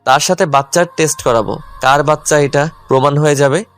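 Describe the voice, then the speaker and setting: A man's voice: on the high side, steady tone, fairly quick, fairly bright tone, lightly acted. A man playing a hurt, angry, stubborn young husband in a dramatised audio love story. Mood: accusing. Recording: music under the voice.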